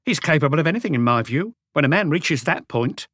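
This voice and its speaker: A man's voice: on the high side, expressive, brisk, fairly bright tone, no echo, studio quality, voice pushed up. A man voicing a defiant, impatient woman who is blunt, scornful and unapologetic, in an audiobook story. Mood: bitter. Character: defiant, impatient woman, proud of her lover.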